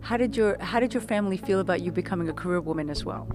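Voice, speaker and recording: A woman's voice: lowish voice, rapid-fire, over music. A woman hosting a formal TV interview, asking questions in a deferential, courteous way. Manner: formal, deferential, with a few restarts. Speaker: TV interviewer, host.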